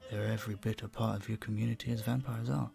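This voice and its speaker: Man playing a low, creaky-voiced vampire bartender. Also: level tone, fast, dark tone, soft background music. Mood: thoughtful, resigned. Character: earnest, courteous, flirtatious male vampire bartender. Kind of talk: intimate scripted audio roleplay.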